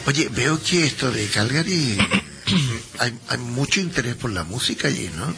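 A man's voice: mid-range voice, expressive, a little slow, creaky, noisy background. A man hosting a casual radio interview, chatty and teasing with his guests. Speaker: radio host.

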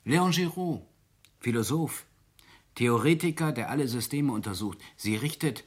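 Husky, idealistic young man. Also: medium pitch, expressive, moderate pace. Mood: earnest, admiring. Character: warm, idealistic young male writer in 1820s Paris. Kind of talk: German radio drama acting.

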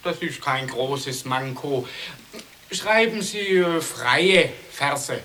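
Professor, slow, courteous, hesitant, proud, bright tone, absurdist radio play, faint tape hiss. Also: male voice, mid-range voice, lively intonation. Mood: polite, encouraging. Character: modest, proud male professor and inventor at a learned academy. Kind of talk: 1980s German absurdist radio comedy acting.

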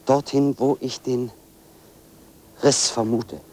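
An actor playing a solitary, brooding man who narrates his own walk in a measured, deliberate way, as in a radio play. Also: medium pitch, fairly even intonation, mellow, slight background noise, natural voice. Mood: grimly resolved. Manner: measured, deliberate, literary first-person narration. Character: solitary brooding man walking into a dark forest. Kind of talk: radio play interior monologue.

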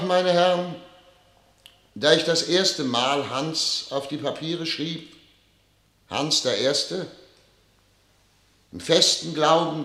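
A man's voice: on the high side, pushed and bright, heavily acted. An actor playing a solemn, disillusioned ruler who addresses his ministers in a grand, rhetorical radio drama style. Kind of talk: theatrical radio drama oratory. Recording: echoey hall, reverberant studio radio drama.

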